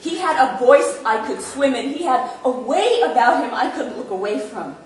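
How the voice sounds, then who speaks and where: A woman's voice: high-pitched, lively intonation, normal speed. An actress playing a proud, loving grandmother, a widow, in a staged play reading. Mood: proud, reminiscing. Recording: very echoey stage, distant, faint noise.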